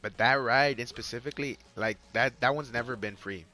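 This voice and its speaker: Bright-voiced Mexican guy rambling on stream. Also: medium pitch, a little fast. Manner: rambling, repeats himself, lots of "like" and "you guys". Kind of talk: casual live-stream chat while gaming. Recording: faint music underneath.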